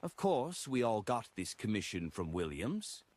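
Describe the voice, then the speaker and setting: A man's voice: fairly low pitch, big pitch swings, measured pace, darkish tone, pitched above the performer's own voice. A man voicing a rambling, droning drunkard in an audiobook, sighing over a poor friend. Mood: rambling, rueful. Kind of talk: audiobook character dialogue.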